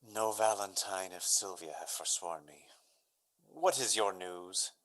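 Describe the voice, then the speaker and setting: A man playing a lovesick, despairing young gentleman in a Shakespearean radio drama, declaiming with anguish. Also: deep voice, a little slow, low-quality recording. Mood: bleak.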